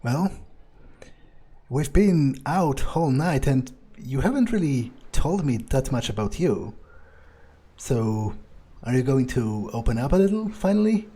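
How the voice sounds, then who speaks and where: A man's voice: mid-range voice, very animated, measured pace, mellow, breathy edge. A man improvising as an aloof, cynical vampire in a video game roleplay, speaking casually and with some hesitation. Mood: guarded.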